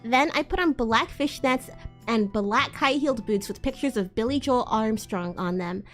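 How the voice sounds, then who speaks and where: A woman's voice: on the high side, normal speed, faint music behind. A woman streamer doing a playful, exaggerated dramatic reading, with sweary amused asides. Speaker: streamer, host of a live read-along.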